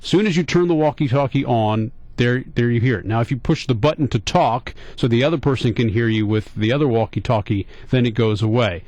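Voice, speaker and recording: A man's voice: mid-range voice, a little fast, hardly any pauses, a little mellow, dry recording. American man hosting talk radio, dry studio sound. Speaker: radio talk show host. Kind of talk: casual talk radio storytelling.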